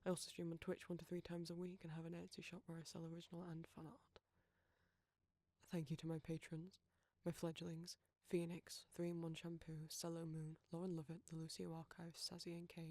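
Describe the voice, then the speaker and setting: Creaky, even-toned British creator. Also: mid-range voice, moderate pace, no echo. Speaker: audio roleplay creator. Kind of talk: scripted outro, reading thanks and credits.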